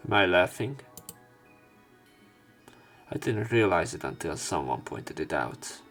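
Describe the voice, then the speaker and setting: A man's voice: low voice, very animated, unhurried, a bit bright, faint music behind. A man reading a visual novel aloud for a let's play, breaking in with casual, sardonic commentary. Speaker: let's player.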